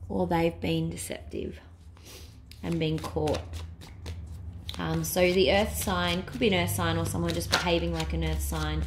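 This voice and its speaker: A woman with a fairly low voice and a cold that has her voice fading reads tarot cards aloud. She thinks as she goes, with plenty of ums and soft half-whispered asides. A faint hiss of noise sits under the recording. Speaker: tarot reader. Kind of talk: casual tarot reading to camera.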